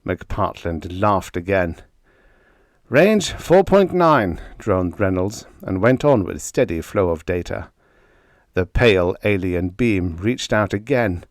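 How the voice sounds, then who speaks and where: A man's voice: sits low, measured pace, no room sound, studio quality. A man narrating an audiobook of pulp adventure fiction in a dramatic, scripted reading style.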